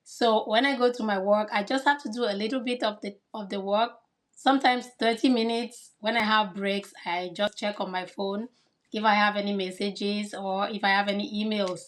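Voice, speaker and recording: A woman's voice: medium pitch, steady tone, moderate pace. A woman from Cameroon, a kindergarten teacher, answering interview questions in an earnest, explanatory way.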